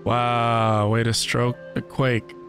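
A man's voice: medium pitch, monotone, takes it slowly, over music. A man in his thirties reacting casually to a video game on a livestream, with wry, joking asides.

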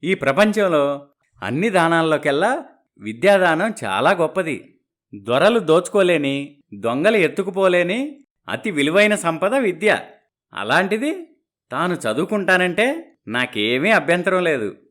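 A man playing a smooth-talking, boastful young groom who speechifies with English words thrown in, in a dramatized moral story. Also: fairly high pitch, sing-song, unhurried, long pauses, a bit bright.

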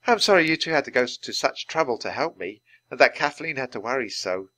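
A man voicing an earnest, emotional young boy wizard in a children's audiobook, remorseful and halting. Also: mid-range voice, animated, bright, forceful tone, no echo, voice pushed up. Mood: earnest, grateful.

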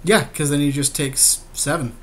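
Higher-voiced man bantering over a game. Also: steady tone, normal speed, fairly bright tone. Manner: slangy, agreeable, joking. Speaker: podcast co-host playing an online card game. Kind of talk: casual game commentary, banter.

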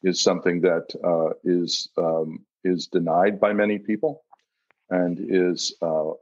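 Male panelist, American, low husky voice, hesitant and deliberate, slightly dull audio. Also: level tone, darkish tone. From United States. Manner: hesitant, deliberate word choice. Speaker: panel guest. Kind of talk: reflective film Q&A talk.

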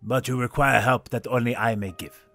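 A man's voice: medium pitch, fairly even intonation, moderate pace, creaky, fairly bright tone, faint music behind. A man playing a proud, aloof young hero in an audio drama, speaking in a haughty, formal way. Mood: proud, reluctant.

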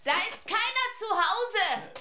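A nosy, combative neighbour in a children's audio drama. The piercing, very high voice is sharp and blunt, with lively swings, in an echoey space. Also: female voice, slow, a little hiss or hum, full character voice. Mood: nosy, brisk. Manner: shrill, blunt. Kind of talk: children's radio play acting.

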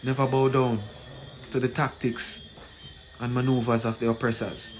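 A man in his forties with a Jamaican accent gives an emphatic, deliberate address to camera, repeating phrases for weight. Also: mid-range voice, little pitch movement. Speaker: community leader. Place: small plain room indoors. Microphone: phone at arm's length. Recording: noisy room, ambient background sound.

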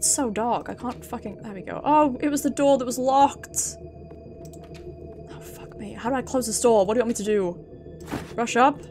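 A woman with a high voice and a British accent commentates casually on a horror game as she streams it. She thinks out loud, swears freely and reacts to what she finds. Faint game music plays behind her. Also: normal speed, pauses often. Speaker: livestreamer playing a game.

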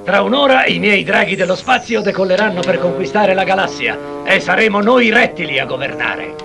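A gravelly, menacing reptile overlord in an old dubbed cartoon. The voice sits high for a man, rough and scheming, with music running under it. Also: lively intonation, a little fast. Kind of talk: 1960s cartoon dubbing.

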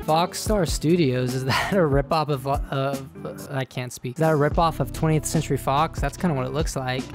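Slightly hoarse young man reacting playfully. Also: a little high, fairly even intonation, normal speed, music in the background. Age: young adult. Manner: playful, self-mocking, rambling asides. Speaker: YouTube reaction host. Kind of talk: casual reaction commentary. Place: small home studio room. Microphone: boom-arm studio microphone close up.

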